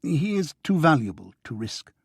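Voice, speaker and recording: A man's voice: on the high side, big pitch swings, normal speed, vocal fry, slightly rough, dry recording, clean studio sound, pitched above the performer's own voice, full character voice. A man reading a stern, curt, businesslike woman who serves as a secret contact in an audiobook.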